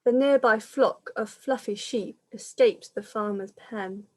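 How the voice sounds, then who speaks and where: Slow-paced woman reading a storybook. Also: medium pitch. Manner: measured, explaining pictures to children. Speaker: primary school teacher reading a picture book. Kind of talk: storybook read-aloud for young children.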